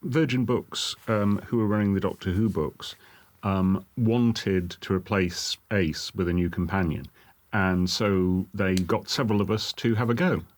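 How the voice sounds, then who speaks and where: A man's voice: low voice, measured pace. A man, a writer, casually recalling past work in a documentary interview.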